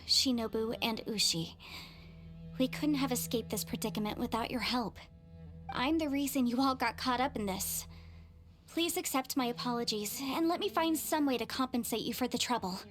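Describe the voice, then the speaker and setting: A woman's voice: a little high, moderate pace, close to an everyday voice. A woman voicing a courteous, formal young female advisor in a game cutscene. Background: game music.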